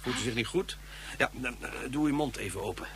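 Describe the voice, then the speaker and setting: An actor playing a polite, formal police inspector from Mars in a radio play, hesitating with small fillers. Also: male voice, medium pitch, a little fast, fairly bright tone, slight background noise, natural voice. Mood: courteous. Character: polite Martian police inspector, middle-aged man. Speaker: inspector and tour guide.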